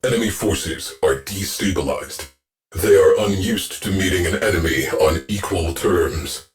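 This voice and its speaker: An actor playing a terse, dry male ship's AI officer who speaks in clipped, deadpan military orders for a video game. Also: low voice, unhurried, faint music behind.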